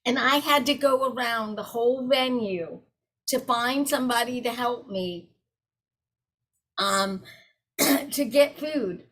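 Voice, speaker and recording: A woman's voice: a little high, poor audio. Woman giving a direct talk. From South Carolina. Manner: warm, direct, addresses audience as y'all. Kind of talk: conversational presentation.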